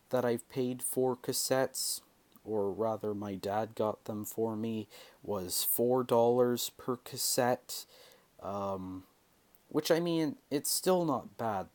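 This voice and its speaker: A man's voice: lowish voice, darkish tone. A young man talks casually to camera in a hesitant, rambling way, like a hobby vlogger. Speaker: video creator showing collection. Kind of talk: casual vlog talk to camera.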